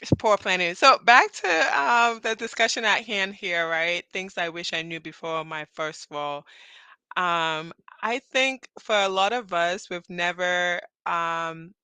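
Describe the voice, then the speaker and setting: A woman from Cameroon hosts a live audio chat in a loose, rambling way and laughs easily. Her voice is bright and smooth, and the sound is close and dry. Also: fairly low pitch, measured pace, no echo. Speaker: host.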